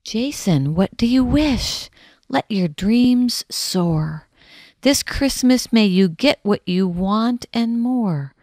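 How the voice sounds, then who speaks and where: A woman voicing a tender, unhurried mother at bedtime. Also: fairly high pitch, expressive, a little mellow, dry recording, voice pushed up, exaggerated voice.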